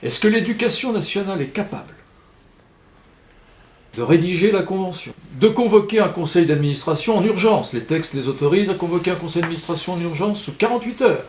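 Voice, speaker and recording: A man's voice: high voice, lively intonation, brisk, a little mellow. A man speaking as a local politician, arguing his case in debate at a public meeting. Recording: echoey room, faint background noise.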